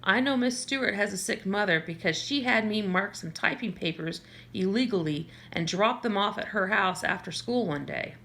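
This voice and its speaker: A woman's voice: medium pitch, lively intonation, normal speed, vocal fry, few pauses, fairly bright tone. Woman reading a novel aloud. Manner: conversational, with small slips and self-corrections. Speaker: audiobook reader. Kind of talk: first-person novel reading.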